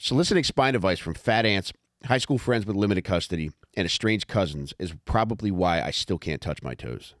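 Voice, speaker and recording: A man narrating a comic memoir audiobook in a wry, measured, profane way. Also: deep voice, lively intonation, dry recording, studio quality.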